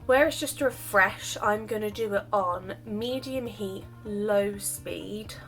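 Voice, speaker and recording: A woman's voice: medium pitch, slow pace, fairly bright tone, soft background music, poor audio. Chatty woman doing her hair tutorial. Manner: chatty, self-deprecating, explains while doing. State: recovering voice after illness. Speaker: hair vlogger. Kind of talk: casual hair tutorial chat.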